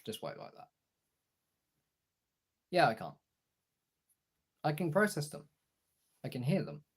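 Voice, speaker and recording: A man chatting casually as a streamer, thinking aloud haltingly as he searches for words and trails off. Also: a little high, huge pitch swings, slightly rough.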